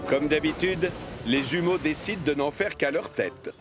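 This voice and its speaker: A man's voice: fairly high pitch, normal speed, natural voice. A man narrating a children's cartoon in French, explaining the story as a scripted storyteller. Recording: music behind the voice.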